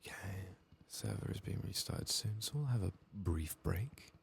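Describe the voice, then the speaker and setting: Man, deep and very creaky, hushed ASMR game commentary, half-whispered, unhurried murmur. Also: animated. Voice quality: soft, breathy, gravelly low voice. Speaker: ASMR game playthrough host. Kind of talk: soft-spoken and whispered ASMR game commentary, reading quest text aloud.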